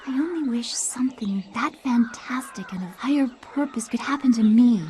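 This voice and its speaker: A woman's voice: fairly high pitch, moderate pace, mellow, slight background noise, close to an everyday voice. An actress playing a bored, dreamy young woman in a video game, musing wistfully to herself. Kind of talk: dramatic video game acting.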